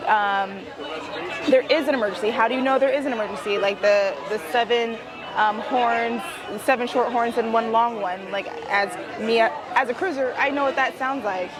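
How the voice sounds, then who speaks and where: A woman's voice: fairly high pitch, normal speed. A woman talking casually to camera as a vlog host, chatty and slangy as she explains things. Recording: background music, busy crowd outdoors.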